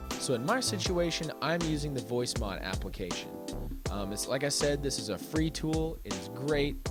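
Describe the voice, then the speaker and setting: Male tutorial presenter, mid-range voice, explanatory step-by-step delivery, heavy music bed. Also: mid-range voice. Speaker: video creator giving a tech tutorial. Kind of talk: instructional tutorial narration. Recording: music behind the voice. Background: background music.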